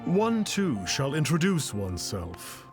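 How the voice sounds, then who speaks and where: Pompous game sage, slow n stately, swoopy delivery, music underneath. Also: male voice, on the high side, wildly swooping pitch. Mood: lofty, self-important. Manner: pompous, stately, formal archaic speech. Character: pompous self-styled immortal sage, lofty and theatrical. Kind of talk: video game voice acting.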